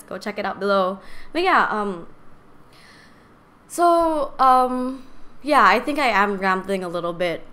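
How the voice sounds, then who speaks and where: A woman in her twenties hosting a podcast, talking casually and candidly, her strained voice raspy and a little shaky. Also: medium pitch, fairly bright tone. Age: 20s. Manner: candid, rambling, chatty. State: strained voice from singing and talking too much. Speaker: podcast host. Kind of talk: casual personal talk to live stream viewers.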